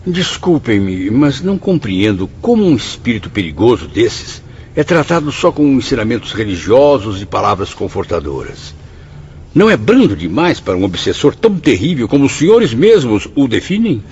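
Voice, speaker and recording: A man's voice: mid-range voice, big pitch swings, moderate pace, darkish tone. An actor playing a curious newcomer who questions his mentors in formal, literary Brazilian Portuguese in a radio drama. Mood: puzzled. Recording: dull lo-fi audio with faint noise.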